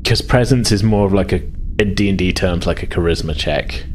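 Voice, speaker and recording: A man with a notably low voice runs a tabletop roleplaying game. He narrates casually, often pausing to think aloud. There is some noise behind him. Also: a little mellow. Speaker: game master. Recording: clearly noisy background.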